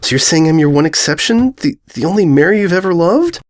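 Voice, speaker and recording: A man's voice: fairly high pitch, lively intonation, fairly quick, pitched above the performer's own voice, close to an everyday voice. A man voicing a jealous, easily flustered young female pony who stammers and turns sarcastic in a story. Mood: flustered denial.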